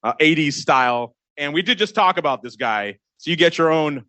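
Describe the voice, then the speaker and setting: A man's voice: on the high side, lively intonation, moderate pace, bright, forceful tone, no room sound. A middle-aged man hosting a live options podcast, joking and teasing his co-hosts with nicknames. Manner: jokey, teasing, gives guests nicknames. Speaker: podcast host. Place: crowded live event room.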